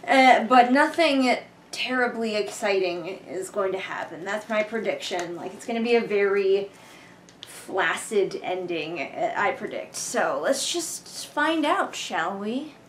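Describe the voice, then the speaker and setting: A woman's voice: mid-range voice, expressive, a little slow, a little hiss or hum. A young woman giving casual, sarcastic commentary as she reads along with a book, in an exasperated, informal way. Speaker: book reviewer reading along. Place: living room couch, indoors. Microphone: camera a short distance away.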